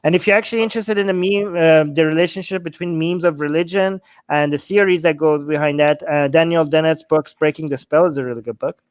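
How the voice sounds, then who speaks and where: A man hosting a casual livestream call-in, excited and quick to praise his guests. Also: a little high, clear, resonant tone, no echo. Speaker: livestream host.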